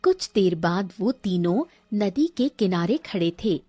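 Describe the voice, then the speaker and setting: A woman's voice: mid-range voice, expressive, a little slow, warm tone, breathy edge, faint music behind. A woman storyteller giving scripted narration of a fairy tale.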